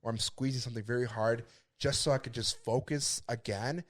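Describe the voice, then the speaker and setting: A man's voice: medium pitch, steady tone, brisk. A young man co-hosting a podcast, chatting casually with a stutter and repeated words. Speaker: podcast co-host.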